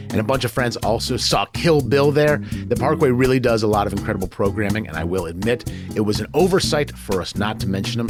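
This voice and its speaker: An American man hosting a conversational podcast with playful, jokey banter. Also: on the low side. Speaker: podcast host.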